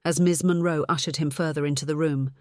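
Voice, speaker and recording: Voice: medium pitch, steady tone, brisk, dry recording, clean studio sound. An audiobook narrator reading a scripted story.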